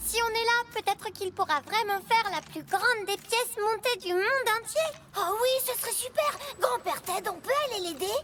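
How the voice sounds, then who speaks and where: A performer voicing an eager, curious little child truck in a children's cartoon, excitable and inquisitive. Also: extremely high pitch, moderate pace, few pauses. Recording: music behind the voice.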